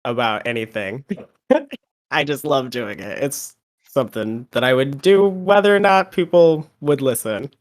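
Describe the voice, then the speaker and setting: A man guesting on a podcast, slightly sick with a cold, talks in a relaxed, easygoing way. He laughs often and pokes fun at himself. His pitch sits in the middle range for a man. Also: unhurried. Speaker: rapper guest. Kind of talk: casual interview chat.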